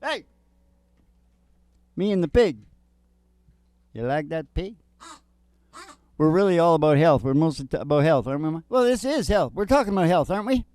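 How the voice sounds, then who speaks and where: A man's voice: fairly high pitch, lively intonation, moderate pace, long pauses, darkish tone, no room sound. A man hosting a country music show, chatting and joking in a rambling, folksy way. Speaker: host and singer. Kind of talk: casual chat with acoustic country singing. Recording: music playing behind. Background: acoustic guitar.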